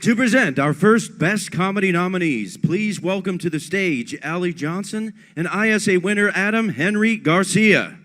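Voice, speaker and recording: A man's voice: high-pitched, lively intonation, normal speed, few pauses. A man working as an announcer at an awards show, bringing presenters to the stage in a scripted style. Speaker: ceremony announcer. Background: loud music.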